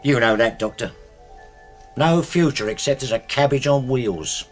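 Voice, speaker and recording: An actor with a British accent playing a deferential, plain-spoken servant and loyal old soldier's man in a radio drama, coolly owning up to dark deeds. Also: mid-range voice, measured pace, soft background music, close to an everyday voice. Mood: matter-of-fact. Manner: deferential, says "sir" constantly, plain-spoken.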